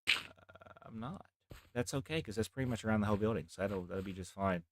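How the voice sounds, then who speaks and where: A young man with a deep voice, rambling softly through casual live gameplay commentary as a streamer. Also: fairly quick, a little hiss or hum. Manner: rambling, talks softly, near whispering.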